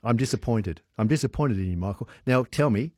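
A man's voice: mid-range voice, expressive, fairly quick, a little mellow, no room sound, studio quality. An Australian man hosting a radio quiz, chatting and joking with callers on air. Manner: jokey, teasing, laughs often. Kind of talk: casual on-air chat with callers.

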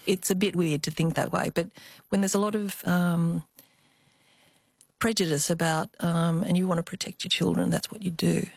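An older woman with an Australian accent, telling personal memories as an interview guest in a thoughtful, expressive way. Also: fairly low pitch, normal speed, gravelly, no echo, studio quality. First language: Cantonese. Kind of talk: reflective personal storytelling.

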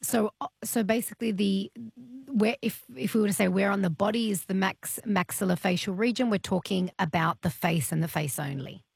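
A woman's voice: mid-range voice, fairly quick, creaky, few pauses, breathy edge, dry recording, clean studio sound. A woman hosting an interview, asking curious, rambling questions. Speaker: interview host. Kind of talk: interviewing a guest.

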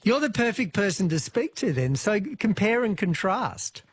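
A man's voice: sits high, big pitch swings, moderate pace, slight background noise. A man hosting a radio call-in show, chatting with callers in a friendly, interested way. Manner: friendly, interested, brief affirmations. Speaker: radio host. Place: radio studio. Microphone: studio mic.

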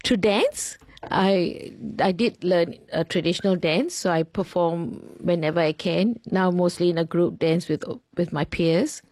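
A middle-aged woman from Singapore with a Singaporean accent, a teacher answering interview questions in measured, list-like phrases with pauses. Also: fairly low pitch, a little mellow, dry recording.

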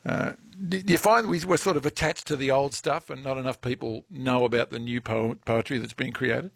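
A man's voice: medium pitch, fairly even intonation, brisk, gravelly, no echo. A man from Australia hosting an interview, asking thoughtful questions with frequent stammered restarts. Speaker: radio interviewer.

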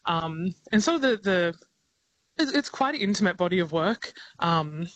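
An Australian woman, a singer-songwriter, talking thoughtfully in a radio interview over the phone, laughing often and pausing with ums. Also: on the low side, expressive, poor audio. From regional New South Wales, Australia. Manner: thoughtful, giggly, many ums and restarts.

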